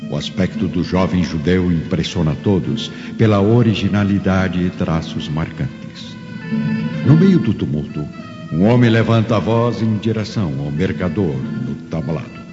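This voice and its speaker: A man's voice: low-pitched, lively intonation, moderate pace. A Brazilian man giving dramatic scripted narration for a radio serial. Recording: long reverb, dull, music behind.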